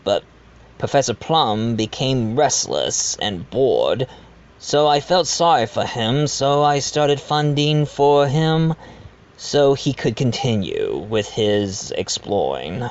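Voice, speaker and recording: A man plays a genial widowed doctor hosting a mystery dinner in a fan audio drama. He talks slowly with lively swings of pitch, confiding and a bit hesitant. The recording is a little dull and lo-fi. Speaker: narrator and host of the dinner party. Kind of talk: fan audio drama acting with narration asides.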